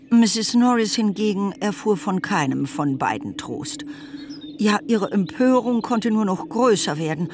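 A man's voice: high-pitched, background noise. A man narrating a literary radio play as its storyteller.

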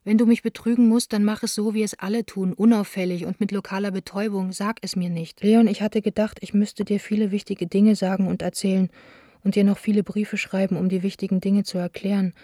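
A woman's voice: medium pitch, mellow, no echo, studio quality, lightly acted. An actress playing a candid, wounded young woman of the theatre, speaking her letters in an intimate, restrained way for a radio play. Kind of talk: radio play monologue, letters read aloud.

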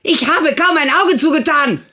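A woman's voice: high voice, moderate pace, sharp tone. An actress playing an older, protective and prying sister who questions her brother reproachfully in a radio play. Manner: reproachful, probing, insistent.

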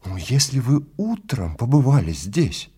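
A man acting a questioning, uneasy safari client, lively pitch. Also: unhurried, husky, heavily acted. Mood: agitated. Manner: doubtful, questioning. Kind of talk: Russian audio drama acting.

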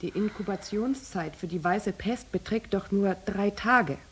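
A woman playing a sceptical, reasoning astronaut in a radio drama, with a husky voice. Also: medium pitch, unhurried. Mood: sceptical, pondering.

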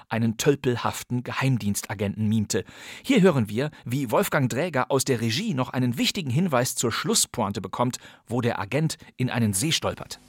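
A man's voice: mid-range voice, no echo, studio quality. A man with pronunciation hosting a scripted radio podcast in a wry, emphatic way. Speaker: podcast host.

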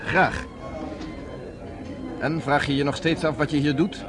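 An actor playing a self-assured, courteous resistance fighter with a dry edge, in radio drama dialogue. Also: male voice, on the high side, fairly even intonation, a little slow, natural voice. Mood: relaxed, courteous. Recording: radio play with loud music, crowd.

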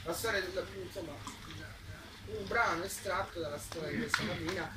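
A man's voice: high voice, moderate pace. A man hosting a live event with an informal introduction. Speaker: presenter of a book event. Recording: roomy, distant mic, clear background noise.

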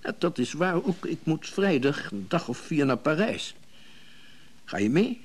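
An actor playing a wealthy, indulgent but watchful partner in a radio drama, speaking in a hesitant, measured way. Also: male voice, on the high side, big pitch swings, breathy edge, exaggerated voice. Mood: probing.

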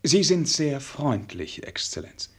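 An actor playing a melancholy, self-doubting young prince in a radio play, speaking formally and earnestly. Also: male voice, fairly high pitch, big pitch swings, takes it slowly, slightly rough, exaggerated voice. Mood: formal, doubtful. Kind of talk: German radio play acting.

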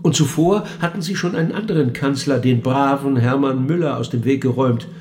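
Radio play: male actor as cool interrogating interviewer, dry, measured, mid-range voice, music bed. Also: mid-range voice, darkish tone, lightly acted. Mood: measured reciting. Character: probing, cool male interviewer questioning the dead. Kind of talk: German radio play dialogue.

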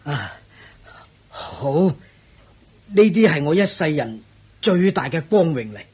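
An actor playing a weary, suffering but proud ascetic in a radio drama, his voice hoarse. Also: on the high side, very animated, slow pace, a little mellow, full character voice. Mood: pained, proud. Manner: weary, groaning endurance. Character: suffering, proud male ascetic covered in sores. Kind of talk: Cantonese radio drama acting.

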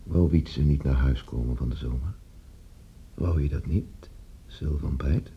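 A grave, relentless male interrogator, with an extremely deep, gravelly voice. He probes slowly and insistently, like an accusing conscience in a radio play, on a dull recording. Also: a little hiss or hum. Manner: probing, insistent, repeating questions. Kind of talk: Dutch radio play acting.

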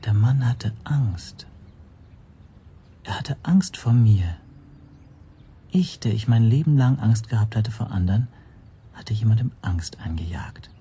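An actor playing an anxious foreign language teacher who narrates his own story in a measured, introspective radio play style. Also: on the low side, expressive, warm tone, slight background noise. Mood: hushed, bitter. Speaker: first-person narrator.

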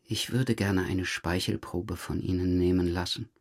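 Low-pitched, husky male police detective. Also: fairly even intonation. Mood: probing. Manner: controlled, deliberate, pressing. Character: patient, probing male police detective, quietly relentless. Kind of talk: German crime radio drama dialogue.

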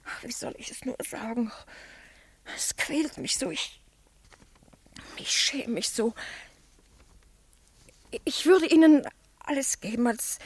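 An actress in a 1960s German radio play voicing a shy, lonely, ageing niece who speaks in anguished, yearning, faltering phrases. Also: female voice, sits high, takes it slowly, long pauses, husky, full character voice. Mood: anguished, pleading. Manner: hesitant, faltering, repeating words.

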